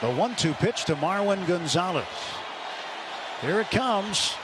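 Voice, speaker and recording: A man calling baseball play-by-play on the radio, building excitement on big pitches. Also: unhurried. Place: ballpark broadcast booth. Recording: radio broadcast over loud crowd noise.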